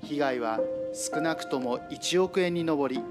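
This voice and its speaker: A man delivers a scripted news report in a reporter's narrating style. His voice sits at an ordinary pitch, with music clearly playing behind it. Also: unhurried. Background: background music.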